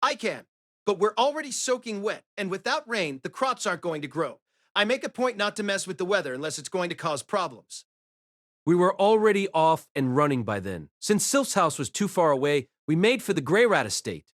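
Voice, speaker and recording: A man's voice: sits high, sing-song, moderate pace, fairly bright tone, no echo, studio quality. A man playing a wry, self-deprecating reincarnated boy mage, narrating his own life in a conversational audiobook style. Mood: patient explaining. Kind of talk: first-person audiobook narration with acted dialogue.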